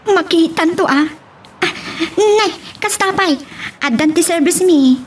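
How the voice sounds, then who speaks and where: A woman's voice: extremely high pitch, measured pace, slight background noise. A woman playing a chatty young working woman talking with a friend in an audio love drama. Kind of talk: Ilocano radio-style love drama acting.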